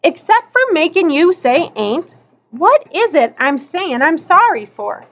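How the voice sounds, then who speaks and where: A woman voicing a hesitant, apologetic but stubbornly reluctant boy in a storybook reading, speaking plainly and haltingly. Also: extremely high pitch, very animated, a bit bright, voice pushed up, full character voice. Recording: dull lo-fi audio.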